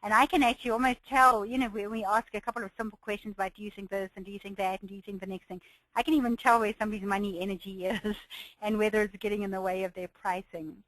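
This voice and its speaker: A woman runs a pricing webinar, speaking in a slightly hoarse voice from a cold. She sounds encouraging and upbeat and often laughs lightly between her points. The sound is dry and close to a computer mic. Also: medium pitch, steady tone, fast talker, a bit bright, no room sound, rough recording. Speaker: business coach hosting a webinar. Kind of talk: webinar presentation.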